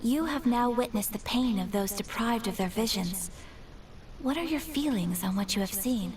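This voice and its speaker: Woman voicing a high, poised young noblewoman. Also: expressive, a little mellow, distant mic, slight background noise, close to an everyday voice. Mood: grave, earnest. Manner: formal, measured, refined. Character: poised, gracious young noblewoman, gentle and earnest. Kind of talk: scripted game dialogue.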